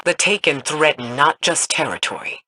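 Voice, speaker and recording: A man's voice: fairly high pitch, animated, normal speed, pushed and bright, slight background noise, low-quality recording. An actor playing a grave, authoritative male mentor in a science fantasy video game.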